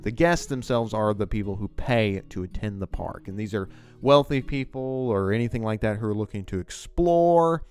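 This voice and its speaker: A man's voice: fairly low pitch, clean tone. A man hosting a video essay, explaining things in a loose, conversational and emphatic way. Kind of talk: casual, unscripted explanatory commentary on TV writing.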